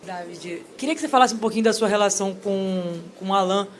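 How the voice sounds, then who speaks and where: A male reporter quickly putting a conversational question to a coach at a press conference. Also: high voice, a little hiss or hum.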